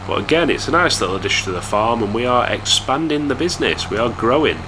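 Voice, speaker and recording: A young man from England with a hoarse voice from a cold, chatting casually to viewers while commentating a game. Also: mid-range voice, fast, background noise. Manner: chatty, relaxed, talks straight to viewers. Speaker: gaming YouTuber.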